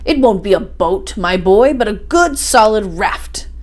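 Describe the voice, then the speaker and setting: A learned older gentleman professor as a woman storyteller reads him from an adventure storybook. He is assured and didactic, explaining everything with firm confidence. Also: mid-range voice, expressive, unhurried, natural voice. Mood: resolute.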